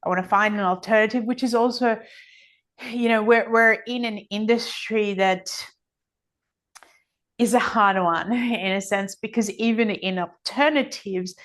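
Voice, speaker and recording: Slow-paced middle-aged woman, reflective. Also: mid-range voice, a bit bright. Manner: reflective, often voices others' thoughts in quotes. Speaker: podcast guest, energy healing author. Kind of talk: conversational interview answers.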